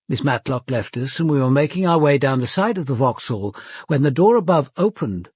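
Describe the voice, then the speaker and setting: An actor playing a composed senior British police detective who narrates and questions in a measured, formal radio drama style. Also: male voice, medium pitch, darkish tone, husky, no room sound, clean studio sound.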